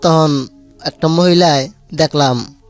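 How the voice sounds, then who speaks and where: Male witness guest, slightly high voice, rural Bangladeshi dialect, plain halting account, faint music bed. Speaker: street snack vendor, witness guest. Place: radio studio.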